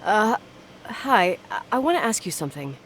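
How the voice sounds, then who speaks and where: A woman's voice: mid-range voice, sing-song, normal speed, fairly bright tone, a little hiss or hum. An actress playing a stubborn, inquisitive young woman in naturalistic game dialogue, suspicious and uneasy, probing earnestly with some hesitation.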